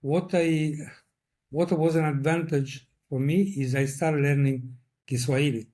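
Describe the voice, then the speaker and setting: A middle-aged man from Italy, whose first language is Italian, speaks English with an Italian accent as an interview guest. He talks in a thoughtful, measured way and searches for words. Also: a little high, steady tone, dark tone. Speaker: guest, veterinarian. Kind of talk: reflective interview talk.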